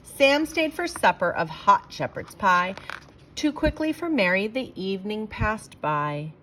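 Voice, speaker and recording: Lady reading a rhyming kids book out loud, theatrical, normal pitched voice, hits the rhymes. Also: sing-song, unhurried, slight background noise, poor audio. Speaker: storyteller reading a picture book aloud. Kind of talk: expressive children's read-aloud of rhyming verse.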